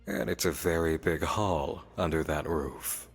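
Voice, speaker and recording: An actor playing a sly, playful demon in a visual novel, teasing, cryptic and theatrical. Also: male voice, rumbling low, a little slow, breathy edge, full character voice. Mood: sly, composed. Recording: long reverb, music behind.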